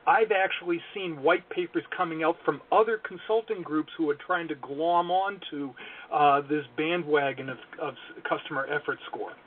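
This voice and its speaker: A male consulting guest speaking earnestly and analytically in an interview, with wry self-deprecating asides. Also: a little high. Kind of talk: podcast interview talk. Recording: tinny phone line.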